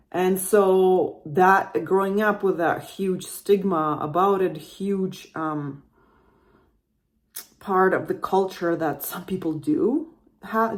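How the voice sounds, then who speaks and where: A woman with a somewhat low-pitched voice tells her own story as a podcast host. She talks in a loose, chatty way and often stops to correct herself. She grew up in Russia. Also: a little mellow. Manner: chatty, rambling, frequent self-corrections. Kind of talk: personal storytelling monologue.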